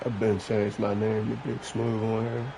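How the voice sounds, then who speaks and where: A man's voice: fairly low pitch, completely flat delivery, moderate pace, creaky, over loud music. A man streaming a video game with casual, blunt, informal muttering. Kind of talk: casual gameplay chat. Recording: noisy, game audio in background. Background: basketball game sounds and ambience.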